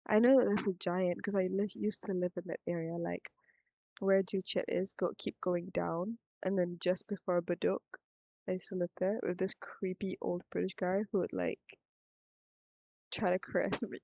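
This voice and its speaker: A woman's voice: lowish voice, flat delivery, normal speed, creaky, dark tone, no echo, muffled recording. A young woman from Singapore with a Singaporean accent, thinking aloud in a rambling, frank vlog monologue. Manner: meandering, thinks aloud, self-interrupting, frank. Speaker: vlogger.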